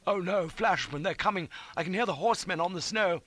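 A panicking cavalryman in an audiobook adventure. His voice is very high and sharp, strained with pain. He whines and blusters, cursing shrilly and full of self-pity. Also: slow pace, gravelly, exaggerated voice. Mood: urgent. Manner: shrill, whining, blustering, babbling. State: crying out in pain.

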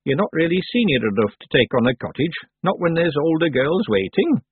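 A man voicing an ancient old woman, a witch who is stern, calm and drily matter-of-fact, in a storybook reading. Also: fairly high pitch, fairly quick, breathy edge, no echo, narrow, tinny audio, pitched above the performer's own voice. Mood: brisk, matter-of-fact. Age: over 100.

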